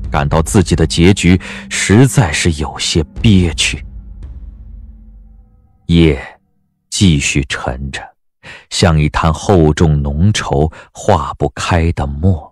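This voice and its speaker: Very deep, expressive male storyteller. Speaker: narrator. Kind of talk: dramatic scripted audiobook narration.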